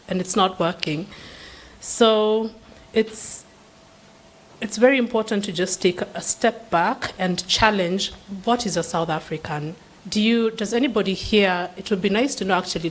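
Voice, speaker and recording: A woman's voice: mid-range voice, normal speed. A woman speaking as a seminar panelist, giving an unscripted answer in an earnest, questioning way with frequent restarts. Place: seminar room.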